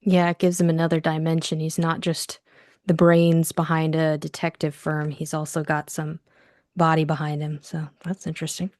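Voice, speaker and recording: A woman's voice: sits low, monotone, normal speed, a little mellow. A woman narrating a classic detective novel and discussing it as co-host. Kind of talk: scripted story narration and book discussion.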